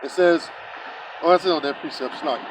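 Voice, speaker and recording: A man with a high voice preaches outdoors, reading verses aloud and then breaking them down in blunt, casual street talk full of filler sounds. Faint traffic and the open air hum behind him. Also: fairly quick. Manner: many filler sounds and restarts. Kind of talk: scripture teaching.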